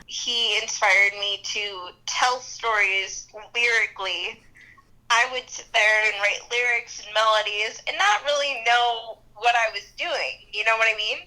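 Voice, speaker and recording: A young woman, a musician and activist, talking bluntly and casually in an interview, with quick laughs. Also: on the high side, steady tone, bright, forceful tone, rough recording. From New Jersey and New York area.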